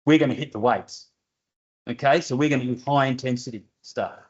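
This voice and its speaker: An older Australian man takes questions in a university seminar room, talking straight and direct with a dry edge of humour. His voice sits at an ordinary pitch, and the recording sounds dull and a little muffled. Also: brisk, breathy edge. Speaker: guest lecturer answering audience questions. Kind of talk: seminar Q&A explanation.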